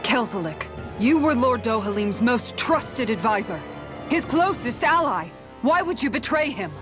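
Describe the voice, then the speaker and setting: Earnest, high-voiced warrior heroine. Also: female voice, big pitch swings, measured pace, music in the background. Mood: stern, accusing. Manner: earnest, intense, righteous. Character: determined warrior woman grieving brother, righteous and stern. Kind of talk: dramatic video game acting.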